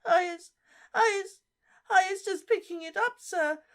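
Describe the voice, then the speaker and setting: A reader voicing a small, frightened female house-elf who squeals and sobs in broken grammar, her voice squeaky and tearful. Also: unhurried. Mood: terrified, sobbing. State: crying.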